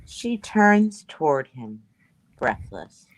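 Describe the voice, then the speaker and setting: Low-voiced woman reading stage directions, animated. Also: takes it slowly, no echo, low-quality recording. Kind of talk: scripted narration at a table read.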